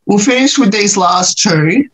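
Brief: male radio host, slightly breathy voice, steady questions, video-call sound. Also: high voice, measured pace. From Australia. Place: small room at home, indoors.

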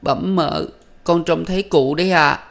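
A man voicing a terrified young female servant who pleads in a high, shrill, sobbing voice. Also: fairly even intonation, a little slow, creaky, a bit bright. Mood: pleading, sobbing.

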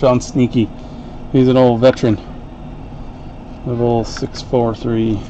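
Slow-talking man opening card packs. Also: medium pitch, flat delivery, mellow, slight background noise, poor audio. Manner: unhurried, chatty play-by-play while opening packs. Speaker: card break host. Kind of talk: live card break commentary. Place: home card-break room, indoors.

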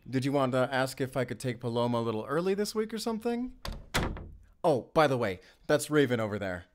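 A man playing an anxious, tender father and lover in an audio roleplay, speaking haltingly with sighs. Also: medium pitch, sing-song, lightly acted. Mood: awkward, uneasy. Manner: halting, sighing, soft-hearted.

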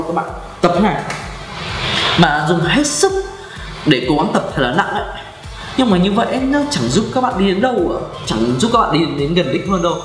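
A young man in his twenties giving beginner gym advice to camera, animated and talking with his hands. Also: fairly high pitch, echoey room. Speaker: fitness coach. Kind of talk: instructional vlog talk. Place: indoor room with carved wooden furniture.

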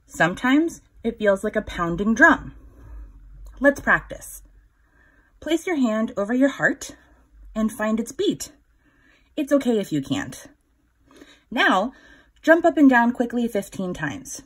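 A woman in her thirties reading a children's picture book aloud, instructive, pausing to put questions to young listeners. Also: on the high side, sing-song, breathy edge. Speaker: children's story time reader.

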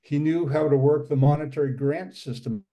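An older man giving a casual club presentation over a video call from a home room, halting with many fillers and restarts. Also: on the high side, steady tone, warm tone. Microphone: video call webcam.

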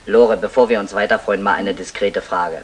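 Wily, smooth-talking crook. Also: male voice, lowish voice, fairly even intonation, moderate pace. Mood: chummy, sly. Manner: mock-formal, slangy, chummy. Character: wily, smooth-talking small-time crook, jovially meddling brother. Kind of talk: 1960 German radio comedy acting.

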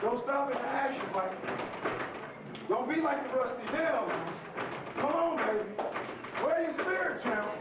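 A man loudly hyping up a boxer during a workout in an indoor gym, picked up by a camera from across the room. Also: very high-pitched, measured pace, long pauses. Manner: loud, excited, shouted encouragement. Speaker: hype man cheering a boxer. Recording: echoey gym, distant, noisy.

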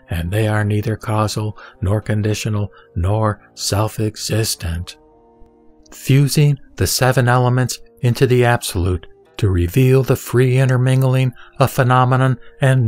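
A man reciting scripture as a narrator in a measured, formal and deliberate manner. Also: fairly low pitch, a little mellow.

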